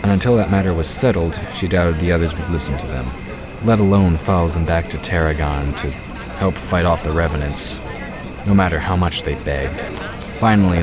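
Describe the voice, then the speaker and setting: A man giving scripted narration for a fantasy audio drama. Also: deep voice.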